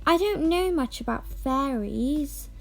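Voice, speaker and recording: A wistful teenage fairy-tale heroine, acted by a female reader. She is polite and gentle, with a high voice and measured delivery over music. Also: lively intonation, vocal fry, heavily acted. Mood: puzzled. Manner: polite, plaintive. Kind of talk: storybook character voice.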